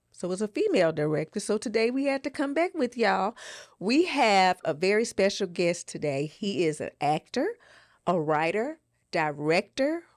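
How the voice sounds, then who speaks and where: A woman co-hosts a casual podcast with an average-pitched voice. She is emphatic and enthusiastic, repeating words for punch. The sound is dry, with very little room. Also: no room sound. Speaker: podcast co-host.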